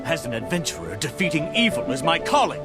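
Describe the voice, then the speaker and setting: An irritated adventurer with a high, very lively voice. He declaims his grievances in theatrical game style, self-righteous and exasperated, with music behind. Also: fast. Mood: irritated, indignant. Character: hot-headed, irritated young male adventurer, self-righteous.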